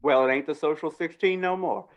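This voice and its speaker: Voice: mid-range voice, brisk, close to an everyday voice. An actor playing a gossipy small-town undertaker and justice in an old-time western radio drama, with drawling rural talk. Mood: eager gossip.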